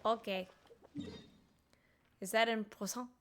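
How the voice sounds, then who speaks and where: A woman's voice: a little high, fairly even intonation, slow, a little hiss or hum. A woman game streamer giving casual, playful commentary and musing aloud as she plays. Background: game music.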